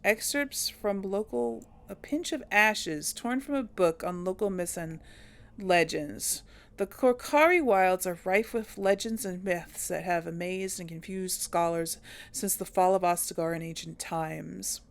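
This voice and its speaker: Woman let's play host with a gravelly edge, chatty, talks to viewers while she plays. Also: on the low side, measured pace. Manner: reads game text aloud in an even voice. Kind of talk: casual gameplay commentary.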